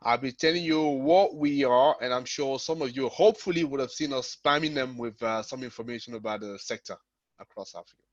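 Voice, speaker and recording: A man's voice: mid-range voice, brisk, no echo. A man giving an informal webinar presentation as a company founder, repeating words and checking in as he goes. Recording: dull, lo-fi online call audio.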